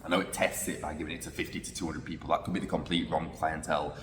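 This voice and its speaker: Deep-voiced man musing in an echoey room. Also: flat delivery, racing, slight background noise. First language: English. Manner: reflective, thinking aloud with restarts. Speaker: vlogger. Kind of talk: casual vlog talking to camera. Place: roomy space in Hanoi. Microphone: phone camera. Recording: echoey room with long reverb.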